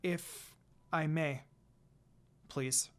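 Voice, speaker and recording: A reader plays an emotional young girl in a fan fiction story. She sounds soft and hesitant, apologetic and eager to please. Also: mid-range voice, fairly even intonation, very slow. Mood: timid, pleading.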